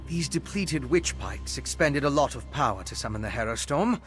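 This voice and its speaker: An actor playing an earnest, inquisitive scholar in a fantasy video game, speaking in a measured, articulate, analytical way. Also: male voice, mid-range voice, expressive, fairly bright tone, faint music behind, natural voice. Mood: intrigued, analytical. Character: earnest, inquisitive male scholar investigating dark rituals.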